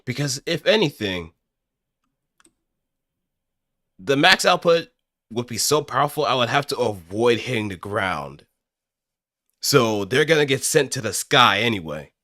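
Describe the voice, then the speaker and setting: A man's voice: mid-range voice, big pitch swings, normal speed, bright, forceful tone, dry recording, clean studio sound. A man voicing a fierce, resolute anime mecha pilot, delivering melodramatic robot game battle lines in a declamatory way. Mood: confident. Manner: dramatic, declamatory.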